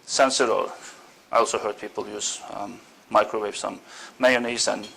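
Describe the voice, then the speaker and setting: A man's voice: fairly low pitch, steady tone, moderate pace, gravelly, pushed and bright, a little hiss or hum. A middle-aged man from Turkey giving an informal academic seminar talk, hesitant with many fillers and self-deprecating asides. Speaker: seminar speaker, senior lecturer. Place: seminar room.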